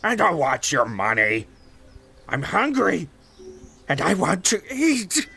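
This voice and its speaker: A man playing a gleefully menacing, short-tempered cannibal boogeyman in a horror audio drama, with a gravelly voice and a slow, taunting, theatrical manner. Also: fairly high pitch, wildly swooping pitch, bright, forceful tone, heavily acted. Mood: gleeful menace. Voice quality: gravelly, creaky.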